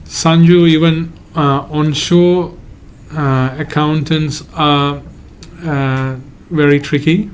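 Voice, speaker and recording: A man from Sri Lanka, a migration agent hosting a live Q&A and answering viewer questions in a halting, hedging way. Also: on the high side, fairly even intonation, a little hiss or hum. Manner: halting, hedges often, reads out viewer questions.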